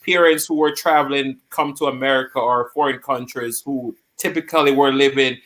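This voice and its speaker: A man, hosting a casual online group chat and telling stories in a relaxed way. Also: mid-range voice, a little fast, poor audio. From Jamaica. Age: 20s.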